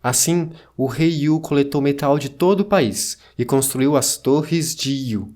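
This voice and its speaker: A man narrates in a solemn, scripted style. His pace is markedly slow and measured. The pitch sits in the middle range for a man. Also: a little mellow. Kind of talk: scripted narration of an ancient text.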